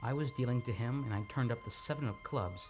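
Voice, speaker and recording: A man playing a troubled, agitated amnesiac in an old-time radio drama, speaking haltingly and repeating his words. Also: mid-range voice, lightly acted. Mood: haunted, intense. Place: radio studio, live radio play. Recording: dramatic music under the voice.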